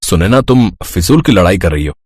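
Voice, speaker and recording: A man's voice: deep voice, lively intonation, a little fast, a little hiss or hum. A man voicing a stern young husband in a cartoon, speaking firmly. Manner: firm, scolding.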